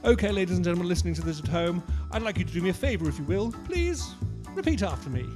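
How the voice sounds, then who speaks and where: Over loud music, a man delivers spoken patter as a comic singer. He addresses listeners with theatrical, mock-polite formality. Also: high voice, big pitch swings, quick pace. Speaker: singer performing a comic set. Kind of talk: spoken audience patter in a song.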